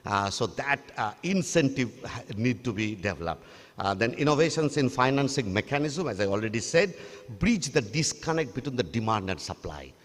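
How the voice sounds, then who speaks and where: A man giving a formal conference presentation, pausing often with fillers and restarts. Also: medium pitch, sing-song, fairly bright tone. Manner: halting, frequent uh and restarts. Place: large conference hall. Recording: reverberant hall.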